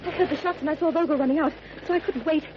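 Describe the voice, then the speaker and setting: A woman's voice: high voice, very fast, close to an everyday voice. An actress playing the hero's concerned, earnest female companion in an old radio drama. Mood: anxious. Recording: noisy, muffled vintage radio recording.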